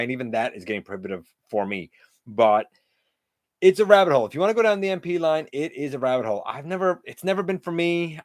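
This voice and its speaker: A middle-aged man with a loud, carrying voice hosts a casual livestream, chatting and answering viewers' comments. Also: medium pitch, no room sound, studio quality. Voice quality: loud voice that carries. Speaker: livestream host; teacher. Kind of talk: casual live-stream chat with viewers.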